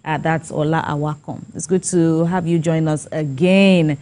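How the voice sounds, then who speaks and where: A woman with a Nigerian accent presenting live studio news and interviewing in a conversational, halting way. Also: low-pitched, steady tone, darkish tone.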